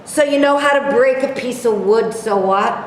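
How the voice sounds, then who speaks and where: A woman's voice: a little high, normal speed, close to an everyday voice. A woman playing a sour, combative, cynical small businessman with curt retorts in a staged reading. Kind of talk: staged reading of comic dialogue. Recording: echoey hall, background noise.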